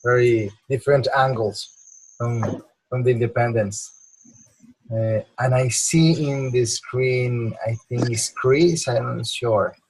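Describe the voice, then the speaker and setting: A man moderating an informal online meeting, halting and often repeating his words. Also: mid-range voice, steady tone, husky, poor audio. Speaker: meeting topic master, moderator. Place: online video call. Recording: noticeable background noise, clicks.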